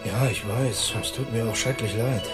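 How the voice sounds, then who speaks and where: A man playing an exasperated, absent-minded middle-aged father of two in a German radio play, with a husky voice. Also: medium pitch, moderate pace, over music, natural voice. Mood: regretful, subdued.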